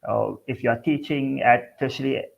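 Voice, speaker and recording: A man's voice: mid-range voice, fairly even intonation, moderate pace, vocal fry, highs cut off. A man from Sabah, Malaysia, an English teacher giving a friendly webinar talk over a video call. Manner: friendly, plain presenting with small restarts. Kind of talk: online webinar presentation.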